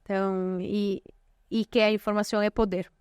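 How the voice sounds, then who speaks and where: A woman's voice: mid-range voice, flat delivery, normal speed, clean tone, no echo, studio quality. Chatty Brazilian-Portuguese woman host. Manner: warm effusive thanks, frequent fillers. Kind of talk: casual interview chat.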